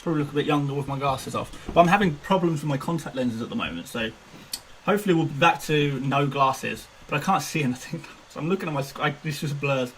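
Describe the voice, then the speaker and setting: A man's voice: fairly high pitch, fast, a little hiss or hum, rough recording. A man in his twenties chatting casually on a live stream, self-mocking and laughing often. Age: 20s. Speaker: fantasy football streamer.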